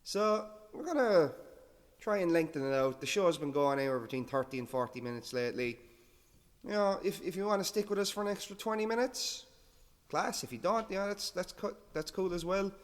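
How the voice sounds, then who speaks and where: A man's voice: high-pitched, expressive, a little fast, in a large hall. Laid-back man hosting a show. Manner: laid-back, self-deprecating, rambling with restarts. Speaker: radio-style show host. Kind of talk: casual rambling show patter.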